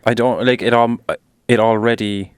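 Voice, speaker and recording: A man's voice: mid-range voice, little pitch movement, normal speed, smooth, no room sound, studio quality. A man co-hosting a podcast, giving blunt opinions in casual chat and often trailing off into mumbles. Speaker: podcast co-host.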